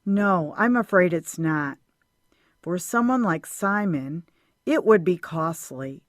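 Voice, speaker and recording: A woman's voice: fairly low pitch, lively intonation, slow, no echo, studio quality. A woman playing a stern, regretful school official in an audio drama. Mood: grave, firm.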